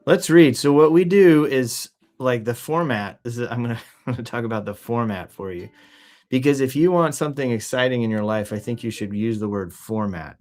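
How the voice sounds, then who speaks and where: Middle aged guy hosting a livestream, regular pitched voice, rambly and jokey, kinda animated, just winging it. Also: moderate pace. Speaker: host of a livestream news show. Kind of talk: casual rambling livestream chat. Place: office.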